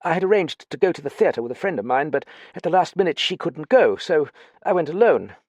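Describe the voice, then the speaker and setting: A man voicing a naive, flustered young gentleman with hesitant, stammering upper-class slang. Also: fairly high pitch, sing-song, brisk, no echo, pitched above the performer's own voice. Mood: chatty, sheepish. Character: plump young English gentleman, naive, flustered, rather foolish.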